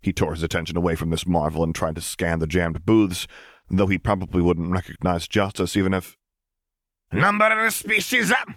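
A man with a deep voice narrates scripted fiction as an audiobook reader. The sound is dry and close, with no room around the words. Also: flat delivery, fairly quick, a little mellow, dry recording.

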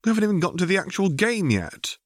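Guy doing a whiny kid apprentice for a storybook, pitched way up, plaintive. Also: sing-song, quick pace, no echo, clean studio sound. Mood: plaintive, whining. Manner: plaintive, whining. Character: young boy apprentice, earnest and whiny.

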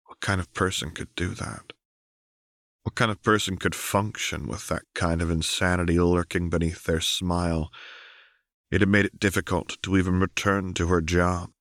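A man's voice: sits low, steady tone, normal speed, fairly bright tone, dry recording, studio quality. A man reading dramatic fiction as an audiobook narrator.

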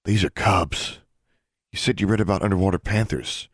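A commanding teenage wizard boy, voiced by a man narrating an audiobook. He speaks tersely and keeps things low-key, brusque on the surface with care underneath. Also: moderate pace, breathy edge, no echo, clean studio sound. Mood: low, planning. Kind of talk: audiobook character acting.

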